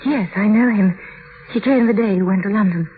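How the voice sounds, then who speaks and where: An actress in an old radio drama playing a devoted, anxious young wife, earnest and pleading, on a muffled, noisy vintage radio recording. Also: female voice, mid-range voice, a little fast, lightly acted. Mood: hesitant.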